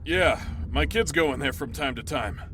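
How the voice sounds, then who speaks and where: An actor playing a gruff, blunt middle-aged dad who catches creatures, in a naturalistic audio drama with some swearing. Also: male voice, a little high, lively intonation, moderate pace, heavy background noise, lightly acted. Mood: agitated.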